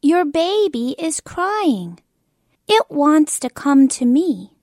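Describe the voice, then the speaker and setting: A woman's voice: sits high, big pitch swings, takes it slowly, no echo, studio quality, pitched above the performer's own voice, exaggerated voice. A woman reading a storybook aloud and voicing a bossy little girl playing doctor, firm and emphatic. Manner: firm, emphatic, a little louder than the narration.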